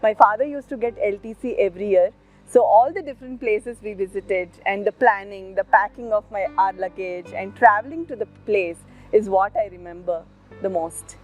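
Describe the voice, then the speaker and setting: A woman's voice: mid-range voice, moderate pace, faint music behind. A woman with an Indian accent informally sharing a personal recollection. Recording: dull lo-fi recording.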